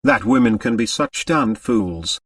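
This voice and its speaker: A domineering, middle-aged American tycoon father performed by a male narrator scolds in sharp, indignant bursts. The pitch moves around a lot, in a dry recording that sounds a little way from the microphone. It is storybook drama with a stern, blustering temper. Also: lively intonation, measured pace, no room sound, distant mic.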